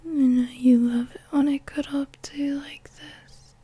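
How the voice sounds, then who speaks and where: Drowsy, high-voiced cuddly girlfriend played by a woman. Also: fairly even intonation, natural voice. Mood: cuddly, teasing. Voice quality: soft, breathy, often whispering. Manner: drowsy, slow, murmuring, coaxing. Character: sleepy affectionate young girlfriend, cuddly, playfully bossy. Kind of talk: intimate girlfriend roleplay ASMR.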